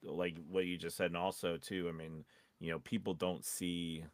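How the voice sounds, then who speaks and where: A man co-hosting a podcast, giving casual advice in a halting, rambling way, often restarting his sentences. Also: deep voice, flat delivery, dry recording. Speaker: podcast co-host and voice actor.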